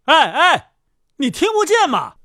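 A man performs a storybook innkeeper with a quick temper and a good heart. His voice is high and swings wildly, blustering and easily flustered, in a close, dry recording. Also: wildly swooping pitch, dry recording, full character voice. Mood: loud, impatient. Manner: shouting, stammering when flustered. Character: hot-tempered, kindly inn landlord, excitable and blustering.

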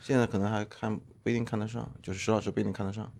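A middle-aged man speaking as a podcast guest in casual chat, measured and self-deprecating, often laughing between thoughts. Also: fairly low pitch, little pitch movement, creaky, a little mellow. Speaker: guest, former literary editor.

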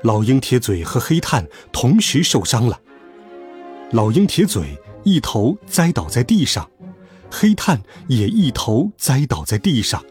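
A man narrating a children's story in an expressive, scripted storytelling style. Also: mid-range voice, a little slow. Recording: music behind the voice. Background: music.

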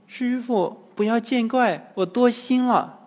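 A man's voice: very high-pitched, slow pace, darkish tone, voice pushed up. A man voicing a timid young woman, a demon in disguise, speaking pleadingly. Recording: long reverb.

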